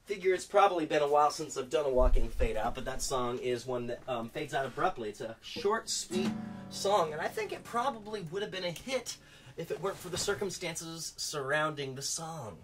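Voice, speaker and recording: Guy w a higher voice, all phlegmy and stuffed up, rambling w lots of ums, guitar in the background. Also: moderate pace. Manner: relaxed, rambling, frequent ums. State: congested, phlegmy, voice nearly gone. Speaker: host of a daily acoustic song channel. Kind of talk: casual spoken aside after a song.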